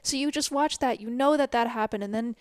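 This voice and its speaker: A woman with a somewhat high voice speaks as a podcast co-host in a casual, conversational way. The recording is dry, with very little room sound. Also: brisk, no room sound. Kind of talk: casual chat.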